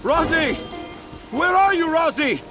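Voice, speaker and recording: A man's voice: very high-pitched, big pitch swings, measured pace, loud music behind the voice, exaggerated voice. A man voicing a theatrical, dreamy storyteller father in a video game, florid and singsong in his grand delivery. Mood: relieved, fretful.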